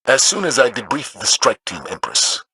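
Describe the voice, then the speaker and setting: A principled military commander for a video game. The voice is very husky and forceful, with lively swings in pitch under a grave, authoritative manner. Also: male voice, normal speed, exaggerated voice, rough recording. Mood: measured respect. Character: stern, principled veteran male military commander. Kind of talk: video game character acting.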